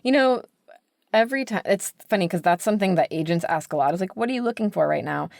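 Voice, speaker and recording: A woman's voice: mid-range voice, lively intonation, quick pace, creaky, a little mellow, no room sound, studio quality. An American woman speaking candidly and emphatically as an editor being interviewed on a podcast, peppering her talk with "like". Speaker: book editor, podcast guest.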